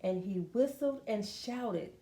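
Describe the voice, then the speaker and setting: A woman reading a children's story aloud in an expressive, deliberate way, with dramatic phrasing. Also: a little high. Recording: roomy, echoing room sound.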